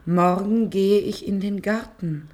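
An actress playing a meek, puzzled young woman, the subject of an experiment in a German radio play, speaking in a hushed, compliant manner. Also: medium pitch, fairly even intonation, slow, natural voice.

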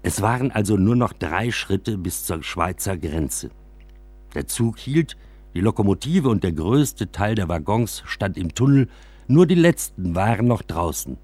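Low-voiced man narrating, dry and slightly husky. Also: very animated, unhurried, darkish tone, dry recording. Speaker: narrator reading a first-person memoir. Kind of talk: scripted literary narration for radio.

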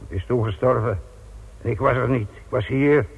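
A man's voice: mid-range voice, normal speed, vocal fry, breathy edge. A man acting a dogged, sardonic middle-aged police inspector in a radio drama, blunt and embittered by his work. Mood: bitter. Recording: tinny narrow-band radio drama recording.

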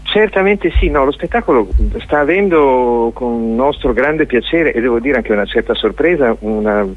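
A man's voice: mid-range voice, lively intonation, normal speed. A man being interviewed on radio as a guest artist, explaining a show discursively and searching for words. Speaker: guest, theatre and dance artist. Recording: narrow tinny phone-like line.

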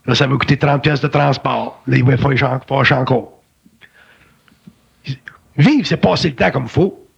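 A man with a Québécois French accent explains spiritual ideas in an emphatic, colloquial way. His voice is gravelly and husky and sits fairly high. The recording sounds dull and lo-fi. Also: normal speed. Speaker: spiritual speaker. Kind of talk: philosophical conversation.

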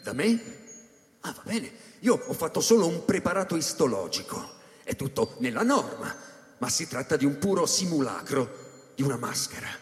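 An actor playing a troubled male scientist who tells his story in a radio drama, with deliberate, intense, pausing delivery in a reverberant space. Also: a little high, very animated. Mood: earnest, explaining. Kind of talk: dramatic first-person narration, radio drama. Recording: echoey hall with long reverb.

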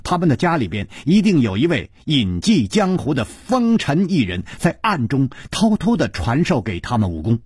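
A man's voice: medium pitch, wildly swooping pitch, a little mellow, husky, no room sound, studio quality, exaggerated voice. A man doing a proud young swordswoman. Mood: explaining. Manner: measured, then cold sneering.

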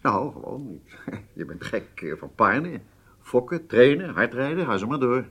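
An actor playing a shrewd, informal intelligence planner in a radio drama, with a confident, conversational, wry manner. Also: male voice, medium pitch, very animated, normal speed, a bit bright, breathy edge, exaggerated voice. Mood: wry, teasing. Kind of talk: Dutch radio drama acting.

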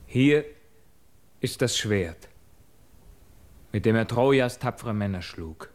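An actor playing a bold, proud young prince, declaiming heroic verse earnestly in a classical radio drama. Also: male voice, fairly low pitch, animated, slow. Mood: proud, resolute.

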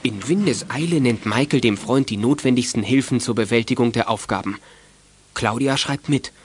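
A man plays the composed narrator of a children's audio drama. His voice sits at a middle pitch. The delivery is scripted storytelling. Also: moderate pace, slight background noise, natural voice. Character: composed male storyteller of a youth detective tale.

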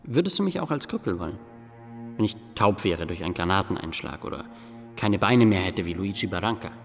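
A man's voice: deep voice, lively intonation, brisk. An actor playing a young soldier-to-be in a radio drama, speaking earnestly and questioningly to his sweetheart. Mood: earnest, anxious. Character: earnest young male sweetheart heading to war. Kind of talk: German radio drama acting. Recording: long reverb under music.

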